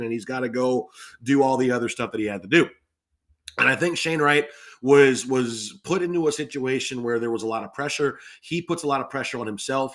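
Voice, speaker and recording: A man's voice: on the high side, a bit bright. A man talking animatedly as a sports podcast guest, with an emphatic, playful delivery like a salesman's. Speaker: guest, radio play-by-play announcer.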